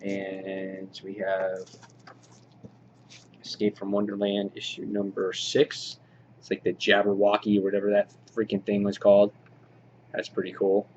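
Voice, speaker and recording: A man giving an informal, halting show-and-tell commentary as a hobby vlog host, in an understated way. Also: on the low side, completely flat delivery, a little mellow. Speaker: vlog host showing a comic book collection. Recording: dull lo-fi audio, clear background noise.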